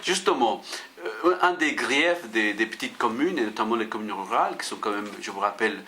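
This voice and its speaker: A man interviews in a very bright, forward voice pitched a little low. He works through his questions conversationally, doubling back on words. Faint noise is audible behind him. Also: animated, fairly quick.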